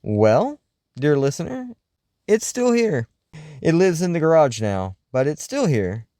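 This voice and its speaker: A man hosts in a scripted radio-show style, theatrical and wry. His pitch sits a little high and swings widely, yet the tone stays mellow. The sound is close and dry. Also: sing-song, unhurried, no echo. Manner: theatrical, wry, musing delivery. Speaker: host. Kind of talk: scripted radio-show style narration, reading listener mail.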